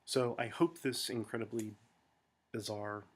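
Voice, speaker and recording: A man's voice: on the low side, fairly even intonation, measured pace. An American man talking to friends in a rambling, self-deprecating monologue full of fillers and restarts, recorded at home. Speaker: vlogger.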